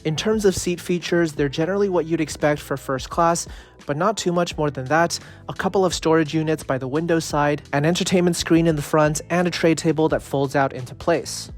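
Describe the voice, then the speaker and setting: A man filming a travel vlog in an airplane cabin, talking straight to his viewers in a relaxed, reflective way. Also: fairly high pitch, a little fast, soft background music. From Canada. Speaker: travel vlogger. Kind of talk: casual travel vlog narration.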